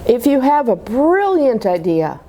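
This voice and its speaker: Animated woman reading to children, higher voice. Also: unhurried, background noise. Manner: animated, simple explanations to children. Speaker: children's storybook reader at a church. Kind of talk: expressive read-aloud for young children.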